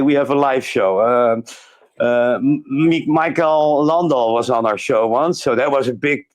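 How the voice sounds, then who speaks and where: Chatty man, fairly high voice. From Netherlands. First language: Dutch. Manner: chatty, chuckles often, many fillers. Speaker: podcast guest and YouTuber. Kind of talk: casual chat. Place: his home studio.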